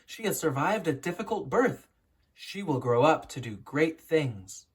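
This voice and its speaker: Woman as a heartfelt, husky storybook nurse. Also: low voice, very animated, a little slow, full character voice. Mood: warm reassurance. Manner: heartfelt, earnest. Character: caring, emotional female nurse attending a royal birth.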